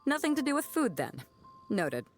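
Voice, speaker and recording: A woman's voice: sits high, sing-song, normal speed, faint music behind. An actress playing a brash, easygoing female ship captain in a video game, casual, hearty and teasing. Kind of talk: video game character acting.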